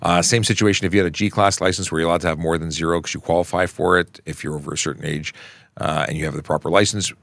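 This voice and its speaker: A man's voice: low voice, fairly even intonation, fast, gravelly, fairly bright tone, no room sound. A middle-aged man from Canada, a traffic police officer hosting a casual livestream Q&A, reading viewer questions and answering them plainly into a close microphone. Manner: chatty, reads questions aloud and answers plainly. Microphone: close studio microphone.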